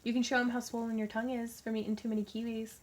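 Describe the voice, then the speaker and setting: A woman's voice: fairly high pitch, level tone, racing. A woman hosting a casual craft livestream, chatty and laughing often.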